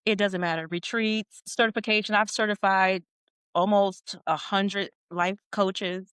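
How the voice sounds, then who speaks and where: A woman's voice: mid-range voice, fairly even intonation, a little slow, a bit bright, clear, resonant tone, no echo, clean studio sound. A middle-aged woman, a leadership coach, speaking earnestly and emphatically as a guest on a podcast, often circling back and restating her points. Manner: earnest, emphatic, with repeated phrases. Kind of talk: podcast interview.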